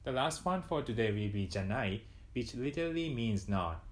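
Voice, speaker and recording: A young Japanese man with a Japanese accent explains a lesson in a calm, mid-range voice. He says each Japanese example faster and louder than the rest. He is recorded close on a lapel mic in a small indoor room. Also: medium pitch. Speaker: language teacher. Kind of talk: scripted lesson to camera.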